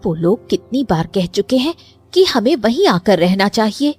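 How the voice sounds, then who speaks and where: A woman's voice: mid-range voice, sing-song, normal speed, a little mellow, breathy edge, soft background music. A woman voicing a worried, nagging farmer's wife who complains and pleads in a cartoon story. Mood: complaining.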